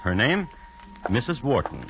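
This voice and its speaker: An actor playing a dry, deadpan radio host who narrates crime stories in a deliberate, wry way. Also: male voice, medium pitch. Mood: droll. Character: dry, droll storytelling crime-connoisseur host. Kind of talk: old radio scripted narration. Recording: dull vintage radio broadcast sound. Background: music.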